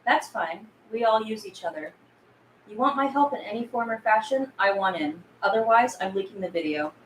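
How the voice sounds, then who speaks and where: A woman's voice: mid-range voice, animated, normal speed, close to an everyday voice. A sardonic, shrewd female boss of an underground crew, acted by a woman at a table read. She is hard-edged and foul-mouthed, always angling for leverage. The room is echoey with noticeable noise. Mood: menacing, smug. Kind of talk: table read of a film script.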